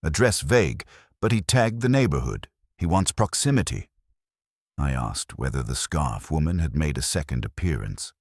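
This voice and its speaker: Male narrator, very low register, lively intonation, dry close sound. Wry detective fiction read. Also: normal speed, gravelly, dry recording. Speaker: audiobook narrator. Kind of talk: scripted first-person detective story narration.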